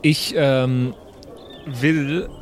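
Man, tabletop player, mid-range voice, casual improvised play, halting phrasing. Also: medium pitch, steady tone, slight background noise. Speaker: tabletop role-playing player.

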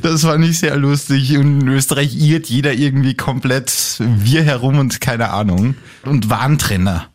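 A man's voice: mid-range voice, moderate pace, hardly any pauses, darkish tone. A man with an Austrian accent hosting a podcast, bantering emphatically in casual chat. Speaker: podcast host.

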